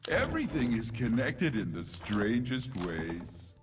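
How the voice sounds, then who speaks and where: A man voicing a gentle, cheerful tree-like nature spirit in a video game, with a playful, dreamy, singsong delivery. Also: medium pitch, huge pitch swings, measured pace, music in the background. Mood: dreamy, content. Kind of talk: video game character voice lines.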